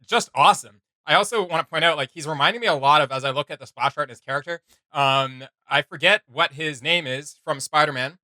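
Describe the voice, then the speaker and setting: A man's voice: a little high, a little fast, bright, forceful tone, dry recording. A man hosting a reaction video, chatting in a rambling way with many fillers. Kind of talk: casual reaction commentary.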